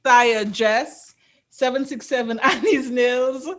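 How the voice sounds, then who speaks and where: A woman with a Caribbean English accent co-hosting a casual podcast, talking in a playful, slangy way and giving shout-outs. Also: fairly high pitch, steady tone, takes it slowly. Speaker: podcast co-host.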